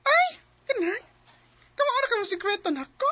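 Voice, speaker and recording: An excitable young Cebuano college friend in a radio drama. Her voice is extremely high and bright, swinging widely as she teases and exclaims over gossip. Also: moderate pace, heavily acted. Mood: teasing, gossipy. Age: young adult. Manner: exclamatory, teasing.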